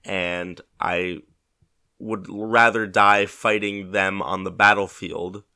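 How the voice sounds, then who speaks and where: A man with a somewhat low voice hosts his podcast alone, a touch congested from a head cold. He talks in a laid-back, rambling way, restarting sentences and correcting himself as he goes. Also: fairly even intonation, slow pace, vocal fry, sharp tone. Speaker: podcast host. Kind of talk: casual solo podcast talk.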